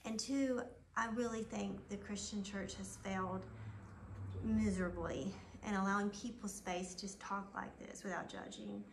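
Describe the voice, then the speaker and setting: A woman's voice: mid-range voice, fairly even intonation, a little slow. A woman, a participant in a study group, making an earnest comment in discussion. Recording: echoey room, faint background noise.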